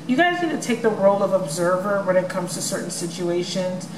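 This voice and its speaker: A woman's voice: on the low side, expressive, brisk. Chatty woman giving a tarot reading. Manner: chatty, many ums, addresses viewers as you guys. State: voice may crack from many readings. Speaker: tarot reader. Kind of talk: casual tarot reading vlog. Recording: echoey room with noisy background.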